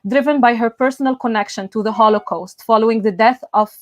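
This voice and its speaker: A woman's voice: on the high side, no room sound. Earnest young woman, dry close sound. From Egypt. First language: Arabic. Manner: earnest, measured, with soft filler sounds. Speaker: event host, student union president. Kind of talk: prepared welcome speech.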